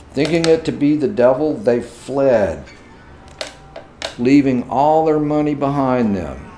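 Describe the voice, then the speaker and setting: A male storyteller reads a folktale at a slow, measured pace. His voice is medium in pitch, with noticeable room echo and soft music behind it. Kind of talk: scripted folktale narration.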